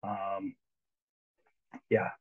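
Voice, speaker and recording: A man's voice: deep voice, level tone, very slow, slightly rough. A man in his sixties, a guest musician talking casually on a video call through headphones, rambling, with many restarts and frequent laughs. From United States. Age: 60s. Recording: tinny phone-like line.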